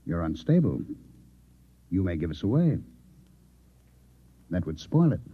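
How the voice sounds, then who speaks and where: A man playing a coolly menacing Martian infiltrator posing as a newspaper man in an old-time radio drama, measured, formal and insinuating. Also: deep voice, very animated, breathy edge, heavily acted. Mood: cool menace. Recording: echoey, phone-like old radio drama recording.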